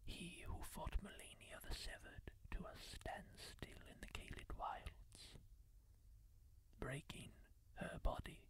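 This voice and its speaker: A man voicing a kindly masked healer-warrior, telling tales in a slow, breathy ASMR whisper close to the mic. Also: natural voice. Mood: hushed. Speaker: ASMR roleplayer. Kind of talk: whispered ASMR roleplay monologue.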